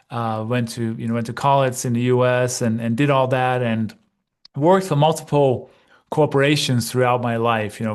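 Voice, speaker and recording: A man's voice: mid-range voice, clear, resonant tone. Chatty middle-aged man from Denmark. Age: 50s. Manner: relaxed, chatty, frequent "you know" and "right?". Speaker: guest, real estate investor and coach. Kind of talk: casual interview conversation.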